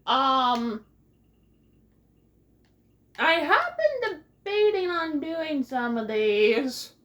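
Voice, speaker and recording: A woman's voice: high voice, very animated, drawn-out words, a bit bright. A woman doing casual Let's Play commentary as host, playful and rambling with sing-song exclamations. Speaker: gaming video host.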